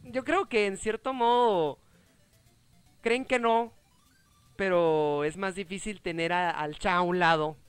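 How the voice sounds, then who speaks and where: A man in his thirties with a Mexican accent, chatting casually and excitably as a gaming show host, joking and repeating his phrases. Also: high-pitched, takes it slowly, pauses often, smooth, no room sound, soft background music. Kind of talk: casual chat over gameplay.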